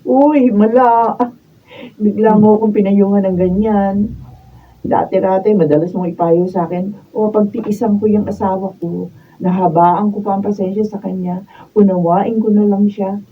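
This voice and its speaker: A woman's voice: mid-range voice, fairly even intonation, normal speed, breathy edge, lightly acted. A woman with a Filipino accent acting a neglected, unhappy wife falling for a friend in a radio drama. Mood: coy, teasing. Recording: dull raw video, distant mic, faint noise.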